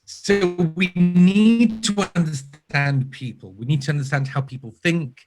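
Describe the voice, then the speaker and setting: A man's voice: on the high side, a little slow, darkish tone, soft background music. A man from Israel with a British-sounding accent, a guest in a remote interview, speaking earnestly and building his points in careful lists. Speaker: podcast guest, user researcher. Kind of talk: casual interview talk.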